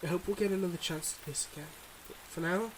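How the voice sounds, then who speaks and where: A man playing a devoted but guarded mob boss boyfriend in an intimate ASMR roleplay, speaking in earnest, measured confessions. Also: high voice, slight background noise, close to an everyday voice, poor audio. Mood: bittersweet, devoted.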